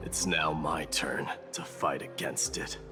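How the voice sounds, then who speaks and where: An actor playing a stoic, proud warrior demigod in a video game, speaking formally and resolutely over music. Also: male voice, a bass voice, fairly even intonation, slow. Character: stoic, proud warrior prince demigod, devoted to protecting others. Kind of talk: dramatic video game voice acting.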